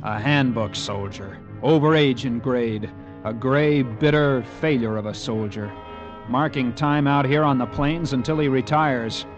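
An American actor playing an eager, resentful young cavalry lieutenant in an old radio drama, with an earnest military manner. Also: male voice, mid-range voice, a little slow, highs cut off, music in the background, close to an everyday voice. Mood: bitter, resentful. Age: young adult. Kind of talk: 1940s-50s radio acting.

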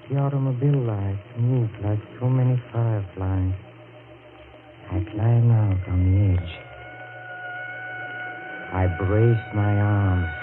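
An actor playing a lovelorn, anguished man driven to panic and crime, halting and dramatic in the manner of a 1940s radio thriller. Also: medium pitch. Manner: halting, dramatic, repeats words in agitation. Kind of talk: 1940s radio thriller acting and first-person narration. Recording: noisy, narrow-band old radio recording.

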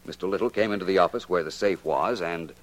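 An American actor playing a suave, confident gentleman detective in 1940s radio drama, polished and precise. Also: sits low, fast talker. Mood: smooth explaining.

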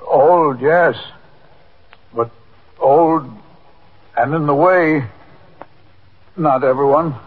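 An actor playing a weary, stubborn man in his seventies who feels unwanted, halting and plaintive, in a 1950s radio drama. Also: fairly high pitch, breathy edge, full character voice. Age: 70s. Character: weary, stubborn old father feeling unwanted. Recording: muffled, narrow 1950s radio recording.

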